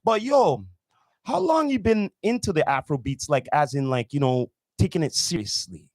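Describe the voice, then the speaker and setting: A man with a high voice hosts a casual podcast chat. He talks in excitable street slang and likes to repeat his words. The sound is close and dry. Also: normal speed, dry recording. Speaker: podcast host.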